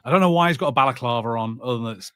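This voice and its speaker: A man's voice: medium pitch, rapid-fire, a bit bright, clear, resonant tone, dry recording, studio quality. A man co-hosting a podcast, chatting casually through a film and TV review in an exasperated, sardonic way. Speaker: podcast co-host.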